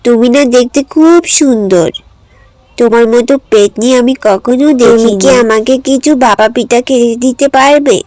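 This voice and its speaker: A woman's voice: a little high, normal speed, darkish tone, no room sound, natural voice. A woman voicing a poor, eager little village girl in a cartoon, with a wistful, pleading, childlike manner. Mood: coaxing, pleading.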